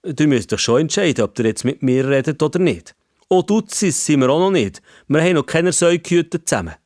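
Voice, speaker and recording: A man's voice: fairly high pitch, sing-song, fairly quick, darkish tone, no room sound, clean studio sound, voice pushed up. An old-fashioned, stern civil servant from a children's story, acted out by a male narrator. He speaks with a Swiss German accent in a formal, insistent way, helpful but thoroughly pedantic. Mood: stern, lecturing.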